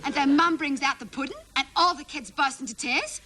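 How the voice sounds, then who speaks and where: A woman's voice: high-pitched, brisk, a crowd in the background, close to an everyday voice. A woman playing a naive, chatty, indignant schoolgirl in a comedy monologue, running on breathlessly and mimicking her family's words. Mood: indignant, dramatic. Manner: breathless run-on gossip with mimicked family quotes.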